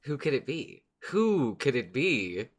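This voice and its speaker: Female podcast co-host with an extremely deep voice, chatting casually. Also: very animated, takes it slowly. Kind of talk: casual chat.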